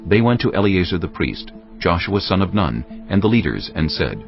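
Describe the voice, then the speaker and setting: A man narrating scripture from a script as a measured, solemn reading. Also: low voice, very animated, gravelly. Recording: muffled audio with music behind.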